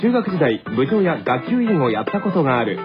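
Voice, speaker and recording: A man hosting a radio variety segment, reading out questions and bantering in a lively way. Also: fairly high pitch, brisk. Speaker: radio show host asking quiz questions. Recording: slightly dull radio recording with music bed. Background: background music.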